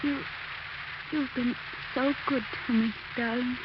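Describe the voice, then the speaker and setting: A woman's voice: fairly high pitch, fairly even intonation, measured pace, natural voice. A woman playing a dying, devoted wife in a 1940s radio drama, tender and faint. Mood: weak, tender. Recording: noisy, muffled 1940s radio recording.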